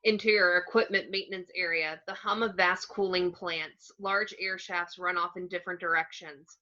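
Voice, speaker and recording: Woman, narrator, screenplay action lines, bright sharp tone, lower pitch, dull lo-fi recording. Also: steady tone, moderate pace. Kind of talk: reading screenplay action descriptions, scripted narration.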